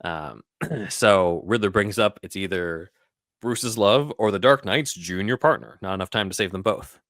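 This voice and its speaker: A man hosting a podcast, giving casual scene-by-scene film commentary in a halting, conversational, explanatory way. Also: on the low side, fairly bright tone, no room sound, clean studio sound. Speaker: podcast host.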